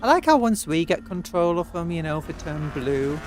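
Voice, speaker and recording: A woman's voice: fairly low pitch, animated, normal speed, noisy background. A British woman giving casual, playful, chatty gameplay commentary as a streamer. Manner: playful, chatty, talking to the game. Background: game audio and music.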